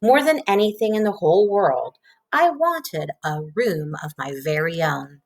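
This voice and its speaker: A woman reading a children's storybook aloud in an expressive narrating style. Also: mid-range voice, unhurried. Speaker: storybook reader.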